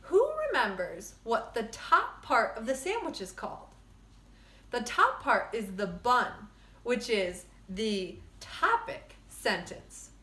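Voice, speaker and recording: A woman reading a children's storybook aloud like a teacher, in simple, expressive phrasing for young listeners. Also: fairly high pitch. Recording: echoey room.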